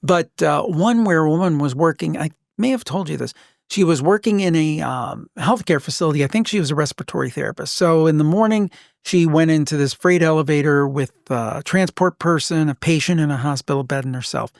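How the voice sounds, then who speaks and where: A man hosting a podcast, telling stories casually and emphatically, with deliberate pauses. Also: a little high, creaky, no room sound, clean studio sound. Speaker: podcast host. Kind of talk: casual conversational storytelling.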